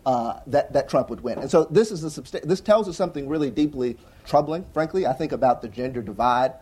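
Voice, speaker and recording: A young adult man on a conference panel, talking earnestly and fast with frequent restarts and "right?" tags. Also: medium pitch, animated, dark tone, husky. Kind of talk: panel discussion answer. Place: conference hall with audience.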